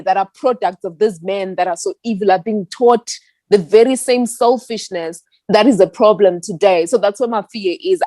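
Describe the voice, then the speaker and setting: A woman's voice: mid-range voice, darkish tone, no echo. A young woman from Zimbabwe answering an interviewer earnestly and reflectively. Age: young adult. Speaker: activist guest.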